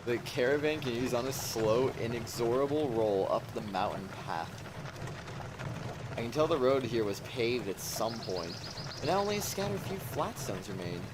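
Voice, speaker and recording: A man's voice: mid-range voice, expressive, unhurried, background noise. A man streamer casually reading a visual novel aloud, slipping in jokey asides. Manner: jokey asides, reads punctuation out as sound words. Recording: music behind the voice. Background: game music.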